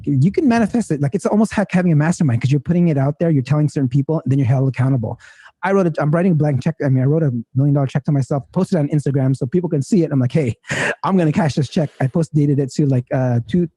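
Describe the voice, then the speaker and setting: Guy in his 30s rambling thru an interview, lots of you knows, pitch a bit high, mellow tone. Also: slightly rough, no room sound. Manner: rambling, heavy with fillers and restarts. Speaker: guest entrepreneur. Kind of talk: casual interview talk.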